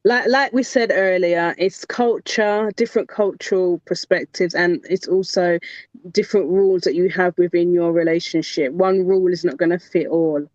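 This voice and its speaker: Soft-spoken agreeable woman, dull muffled audio, dry. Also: on the low side, fairly even intonation, moderate pace, no echo. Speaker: podcast panelist. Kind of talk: casual debate chat.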